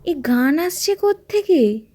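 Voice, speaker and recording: A woman's voice: sits high, animated, takes it slowly, mellow. A woman voicing a skeptical, incredulous male adventurer in a narrated horror story.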